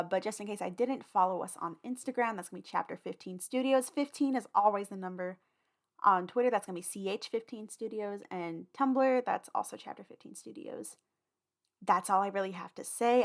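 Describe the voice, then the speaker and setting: A woman hosting a podcast, chatting informally and familiarly to listeners as she rambles through announcements. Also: medium pitch. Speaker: podcast host giving announcements.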